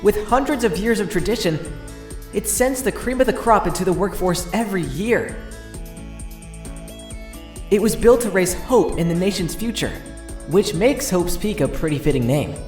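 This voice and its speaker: Someone doing an earnest teen boy game hero, dramatic inner monologue, mid pitch, echoey w music under. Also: lively intonation, moderate pace, pauses often, lightly acted. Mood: earnest, wistful. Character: earnest teenage boy student narrating his predicament. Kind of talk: dramatic first-person game narration, fan dub. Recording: roomy echo, music underneath.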